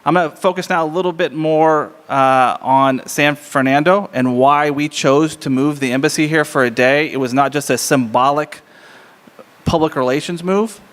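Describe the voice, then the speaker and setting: A man with a booming American accent giving a candid, informal public address as a diplomat. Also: normal speed, fairly bright tone. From United States. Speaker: embassy diplomat, guest speaker. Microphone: lectern microphone. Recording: echoey reverberant hall.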